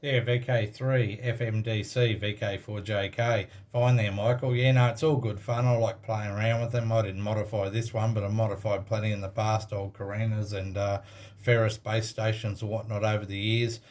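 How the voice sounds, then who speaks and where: A man's voice: on the low side, level tone, moderate pace, a little mellow. An Australian man talking casually and matter-of-factly as an amateur radio operator making contact with another station. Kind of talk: casual radio contact chat with call signs.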